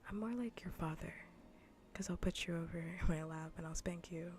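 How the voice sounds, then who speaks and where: A woman speaking in a soft, breathy whisper close to the mic, chatting playfully and teasingly as an ASMR livestream host. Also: on the low side, moderate pace, a little hiss or hum. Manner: teasing, playful, mock-scolding. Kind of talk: soft whispered ASMR gaming stream chat. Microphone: close ASMR microphone.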